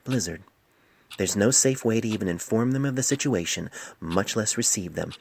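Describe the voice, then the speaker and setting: A man voicing a stern, wise older female pony physician in a storybook reading, speaking in a measured, grave, admonishing way. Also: fairly low pitch, lively intonation, creaky, a little mellow, breathy edge, no echo, exaggerated voice. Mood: sober, commanding.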